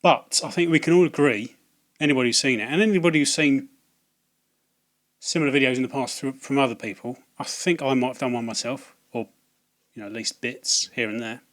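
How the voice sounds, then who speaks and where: A slightly hoarse man, talking casually to camera about video game purchases, hesitant with many ums and restarts. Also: mid-range voice, a little fast, fairly bright tone. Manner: hesitant, lots of ums and restarts, wry asides. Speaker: video game collecting vlogger. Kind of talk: casual rambling vlog to camera.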